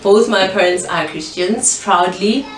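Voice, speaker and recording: A woman's voice: mid-range voice, unhurried, recorded from a distance. A young South African woman guesting on a local studio talk show, talking casually from a little way off the mic, the room ringing around her. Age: young adult. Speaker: interview guest, pageant finalist. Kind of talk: casual chat. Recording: roomy echo, off-mic, faint noise.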